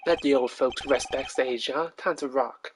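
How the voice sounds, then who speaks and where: A woman's voice: very deep voice, normal speed, a little hiss or hum, voice pushed down. A woman voicing a suave, self-assured young male rock-star prosecutor from a video game. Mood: confident, showy.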